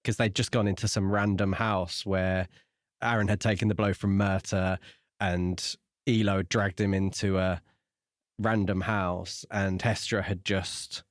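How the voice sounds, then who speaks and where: A man's voice: deep voice, flat delivery, unhurried, no room sound, studio quality. A man co-hosting a podcast and casually discussing a book. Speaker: podcast co-host.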